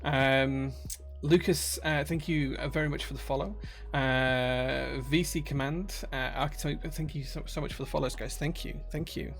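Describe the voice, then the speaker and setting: Man, broad Yorkshire accent, gravelly voice, chatty live streamer, lots of uhs, music in background. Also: medium pitch, steady tone, moderate pace, hardly any pauses, fairly bright tone. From Yorkshire, England. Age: adult, married. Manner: friendly, chatty, many uhs and restarts. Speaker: streamer and keyboard builder. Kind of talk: casual live-stream chat, reading chat aloud. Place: his workshop.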